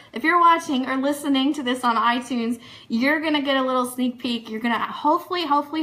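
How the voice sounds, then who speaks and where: A woman's voice: on the high side, bright, forceful tone. A woman hosting a live online radio show, chatting through fan news and announcements in an upbeat, enthusiastic way that speaks straight to listeners. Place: studio.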